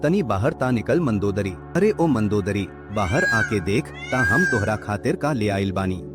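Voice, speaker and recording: Man playing a low, animated, joyful village vendor. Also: a little slow, loud music behind the voice. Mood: joyful, excited. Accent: Bhojpuri. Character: humble, devout, joyful village snack-cart vendor, a husband. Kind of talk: animated moral story dialogue.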